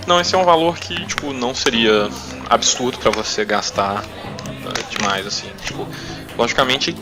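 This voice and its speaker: A man's voice: medium pitch, moderate pace, over music. A young Brazilian man improvising tabletop RPG narration as game master, hesitantly searching for his words.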